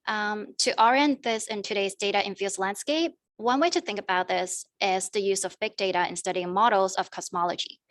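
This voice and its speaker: A woman's voice: medium pitch, fairly bright tone, dry recording. Young woman presenting, dry close sound. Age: young adult. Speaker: student panelist. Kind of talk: prepared academic presentation.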